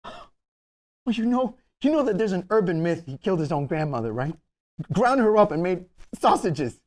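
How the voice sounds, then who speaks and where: A man's voice: sits high, sing-song, normal speed, a little mellow. A man playing an anxious, incredulous young nephew in a comedy table read, nervous and exclaiming. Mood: worried disbelief.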